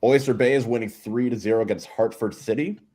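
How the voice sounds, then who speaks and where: A man's voice: medium pitch, steady tone, brisk, warm tone, a little hiss or hum. A man in his thirties co-hosting a podcast, steadily reading out soccer scores. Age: 30s.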